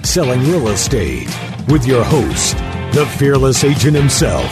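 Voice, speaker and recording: A man announcing the start of a podcast in a drawn-out intro voice. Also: mid-range voice, wildly swooping pitch, over loud music. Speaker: show announcer.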